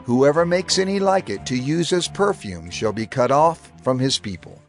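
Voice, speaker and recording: Casting: male scripture reader, mid-pitched, expressive intonation, solemn and measured, music underneath.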